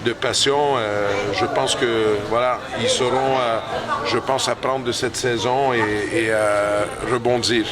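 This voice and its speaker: A middle-aged hockey coach, answering post-game interview questions slowly, with many pauses and restarts. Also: male voice, mid-range voice, steady tone. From Canada. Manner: hesitant, many fillers and restarts. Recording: loud crowd chatter behind.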